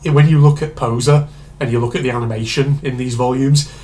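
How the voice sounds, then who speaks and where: A man hosting a casual reaction video, rambling and chatty with lots of fillers, his voice slightly hoarse and congested from a cold. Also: mid-range voice, fairly even intonation, fairly quick, dark tone, slight background noise.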